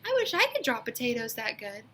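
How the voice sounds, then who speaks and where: A woman voicing an excitable, eager little schoolgirl in a children's storybook, gushing and emphatic. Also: on the high side, fairly quick, slight background noise, pitched above the performer's own voice, full character voice. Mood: kindly.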